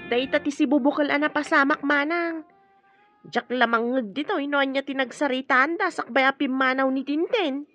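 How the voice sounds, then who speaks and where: A woman with an Ilocano accent playing a gossipy, animated village woman in a radio drama. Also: sits high, normal speed, dull audio, faint music behind. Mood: earnest, insistent.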